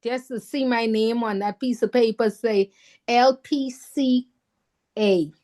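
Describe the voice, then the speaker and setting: A woman whose first language is not English, telling a story with animated emphasis as a newly licensed counselor on a call. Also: on the high side, slow pace. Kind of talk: casual chat on a video call.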